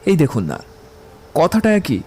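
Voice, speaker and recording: A man's voice: on the high side, wildly swooping pitch, unhurried, dark tone, slight background noise. A man playing a witty, poetic young romantic in an audio drama, eloquent and playfully theatrical. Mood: musing, playful. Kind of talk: dramatised audiobook dialogue acting.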